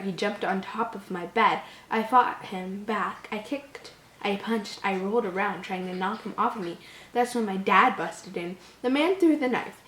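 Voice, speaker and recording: A woman voicing a frightened young boy who recounts an attack in a hushed, dramatic storytelling manner. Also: medium pitch, far from the mic, close to an everyday voice. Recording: echoey room.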